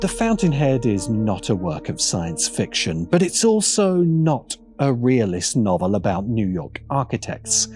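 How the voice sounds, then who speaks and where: A man's voice: mid-range voice, big pitch swings, measured pace, hardly any pauses, darkish tone, soft background music. A man narrating a scripted video essay as its presenter.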